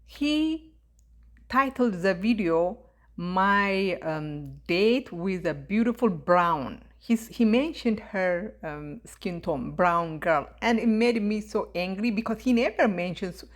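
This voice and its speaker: A young woman with a thick East African accent speaks in a casual, unscripted monologue. She sounds indignant and frustrated, and often stops, restarts and fills gaps with "um" and "you know." Her pitch sits in an ordinary middle range for a woman. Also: animated. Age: young adult. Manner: indignant, halting with many fillers and restarts. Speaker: YouTube commentator.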